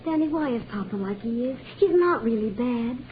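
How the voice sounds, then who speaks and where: A woman's voice: on the high side, animated, moderate pace, natural voice. Tender young American woman, acted, tinny old radio. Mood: eager, wistful. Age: young adult. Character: tender, naive working-class young woman in love. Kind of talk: 1940s radio drama acting. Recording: noisy, tinny 1940s radio broadcast.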